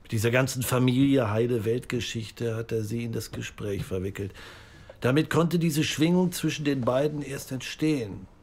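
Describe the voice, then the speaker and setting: An actor playing a thoughtful, analytical mentor who speaks in a measured way in an audio drama. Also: male voice, medium pitch, a little mellow, slightly rough. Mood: pensive. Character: thoughtful male mentor weighing up a story. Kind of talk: naturalistic audio drama acting.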